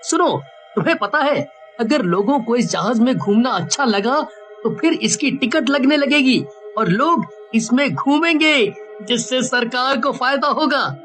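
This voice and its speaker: A woman voicing boastful, excitable townsmen in a cartoon story. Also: sits high, unhurried, faint music behind. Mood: eager.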